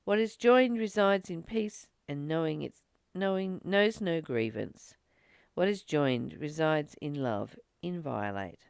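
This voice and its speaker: A woman giving an informal spiritual talk as a teacher, earnest, phrasing things with deliberate, emphatic pauses. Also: low-pitched, lively intonation, vocal fry, darkish tone, clean tone, no echo, studio quality. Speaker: spiritual teacher leading a daily course study.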